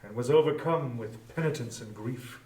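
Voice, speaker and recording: A man's voice: mid-range voice, normal speed, darkish tone. A man narrating a story as a scripted dramatic reading, recorded at some distance from the microphone. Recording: roomy echo, distant from microphone.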